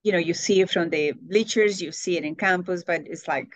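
A woman in her sixties with a lowish voice talks through her answers warmly, often pausing to find the right word. The sound is dry and close. She laughs easily as she goes. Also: no room sound. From Colombia. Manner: warm, searching for words, laughs often. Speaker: guest. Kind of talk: interview answers.

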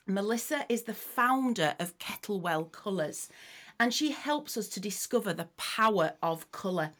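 A woman's voice: mid-range voice, lively intonation, normal speed, a bit bright. A middle-aged woman hosting a podcast, chatting in an encouraging way with small restarts. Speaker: podcast host. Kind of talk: casual podcast chat.

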